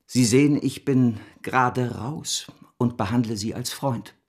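An actor playing a vain, scheming French banker of about fifty in a German radio drama, slightly husky, brisk and smooth-talking. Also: male voice, medium pitch. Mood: confidential.

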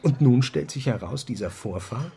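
A dominant, self-assured man of learning in a radio drama. His mid-pitched voice is deliberate and emphatic as he explains and lectures insistently. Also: lively intonation, vocal fry, warm tone. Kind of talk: German radio drama dialogue.

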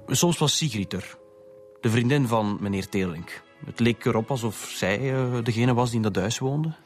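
A man's voice: medium pitch, moderate pace, faint music behind, natural voice. An actor playing a nervous, evasive young Flemish man under questioning in a radio drama, hesitant and defensive. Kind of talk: Dutch-language radio drama acting.

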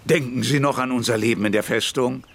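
An actor playing a warm, garrulous old staff captain in a German radio play, effusive, agitated and easily hurt. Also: male voice, mid-range voice, fairly even intonation, brisk, gravelly. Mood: effusive, pleading. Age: older.

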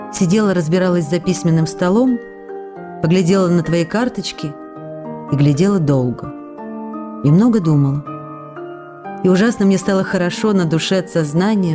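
An actress in a radio drama reading the tender, playful love letters of a young woman actress, in an intimate, questioning way. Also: lowish voice.

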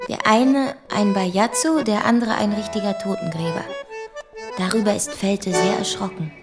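A woman's voice: mid-range voice, moderate pace, over music, lightly acted. An actress playing a prim, proper woman in a German radio drama, speaking in a formal, reserved way. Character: prim, proper woman recalling her past acquaintance. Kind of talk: first-person recollection in German radio drama.

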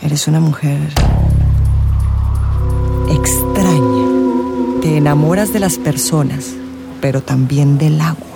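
An eccentric astrologer in a radio play. The delivery is theatrical and oracular, teasing and probing, at a medium pitch. Music and soundscape sit clearly behind the voice. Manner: dramatic, oracular, drawn-out pronouncements. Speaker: actor in a radio drama. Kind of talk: theatrical radio drama acting. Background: music, real outdoor soundscapes.

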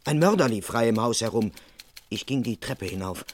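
Actor playing an earnest, deadpan police constable. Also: male voice, mid-range voice, normal speed, background noise, close to an everyday voice. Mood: deadpan narrating. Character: earnest, dutiful male police constable, politely flustered. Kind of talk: first-person narration and dialogue in crime radio play.

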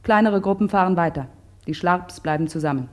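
A woman reading terse diary entries in a measured, matter-of-fact way for a radio drama. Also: lowish voice. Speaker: diary reader.

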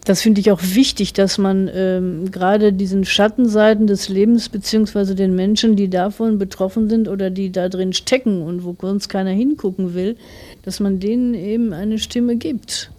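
A woman's voice: mid-range voice, steady tone, normal speed, dark tone. A middle-aged woman giving a reflective interview as a writer, thoughtfully explaining her views in long, winding sentences.

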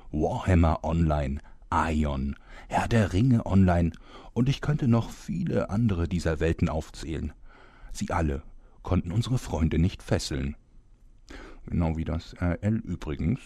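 Guy acting as grumbly pompous narrator dude, deep dark voice, bit husky, comedy audio play. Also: no echo, clean studio sound, exaggerated voice. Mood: solemn storytelling. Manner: theatrical, pompous, self-important. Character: grumbling, vain male narrator fed up with his job.

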